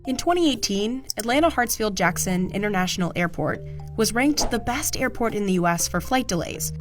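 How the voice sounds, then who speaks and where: A woman's voice: mid-range voice, expressive, a little fast. A woman reading scripted documentary narration in an explanatory manner. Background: background music.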